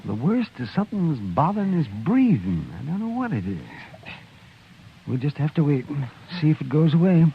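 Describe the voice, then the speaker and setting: A man acting a gruff but caring frontier town doctor in a 1950s western radio drama, plain-spoken and fretful. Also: fairly high pitch, big pitch swings, moderate pace. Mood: worried. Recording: dull, slightly noisy old radio recording.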